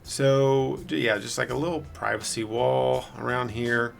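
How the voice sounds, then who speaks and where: A man with a raspy, hoarse voice giving rambling, self-deprecating casual commentary as a gameplay video host. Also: mid-range voice, fairly even intonation, a little slow. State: hoarse from singing the night before.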